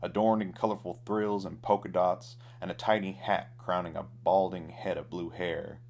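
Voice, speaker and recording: A man with a low voice reads a scripted comic Western tale. He delivers it as a storyteller, dramatically and in rhythm. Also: flat delivery, normal speed, a little mellow. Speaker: storyteller, author reading own ballad.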